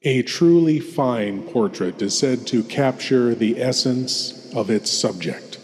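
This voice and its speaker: A man's voice: medium pitch, slow, natural voice. A man playing a ghoulish, courtly lord who hosts old-time radio horror in a grandiose, ominous manner. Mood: solemn, grandiose. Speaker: horror anthology host. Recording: long cavernous reverb. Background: music.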